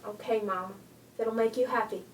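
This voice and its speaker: A woman's voice: on the high side, fairly even intonation, brisk, slight background noise, close to an everyday voice. A woman acting a spirited, devoted teenage daughter in a 1940s holiday radio play, earnest and emotional. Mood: sheepish, apologetic. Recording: roomy, distant, music underneath.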